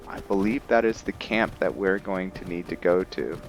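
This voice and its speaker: A man giving casual commentary on his roleplay game, thinking aloud in a halting way. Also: deep voice, faint music behind. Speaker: gamer narrating a roleplay playthrough.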